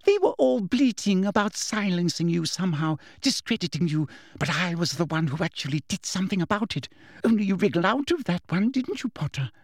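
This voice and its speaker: A man's voice: high-pitched, big pitch swings, brisk, no room sound, clean studio sound, pitched above the performer's own voice, full character voice. A man voicing a cruel, prim middle-aged witch official with a cold, menacing manner.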